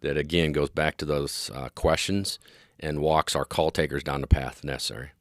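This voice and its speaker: A man's voice: a bass voice, fairly even intonation, normal speed, a bit bright, no room sound, clean studio sound. A middle-aged American man explaining his field as an interview guest, earnest and emphatic in agreement. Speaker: interview guest, police dispatch specialist and former officer.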